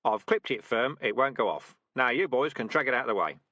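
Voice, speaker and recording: A man's voice: medium pitch, expressive, brisk, bright, forceful tone, no echo. A man voicing a cocky veteran male rat, a trap expert with a dry, teasing way of talking. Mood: instructive.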